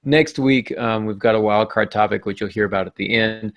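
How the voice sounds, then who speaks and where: A man hosting a casual podcast discussion. His voice sits on the low side with a noticeable creak, and the sound is dry. He talks informally, with a joking streak. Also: fairly quick, dry recording. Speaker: podcast host.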